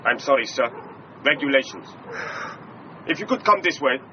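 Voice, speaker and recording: An actor playing an officious border guard with a German accent in a period radio drama, curt and official. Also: male voice, mid-range voice, animated, moderate pace, close to an everyday voice. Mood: stern. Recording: dull audio with outdoor noise. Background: outdoor noise.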